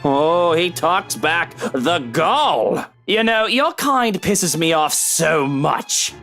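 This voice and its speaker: A woman acts out a haughty homeowner in a visual novel, sneering at intruders. The voice sits fairly low and swings widely, drawling with theatrical mockery over faint music. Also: animated, takes it slowly, fairly bright tone, exaggerated voice. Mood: indignant. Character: haughty, sarcastic homeowner menacing trespassers.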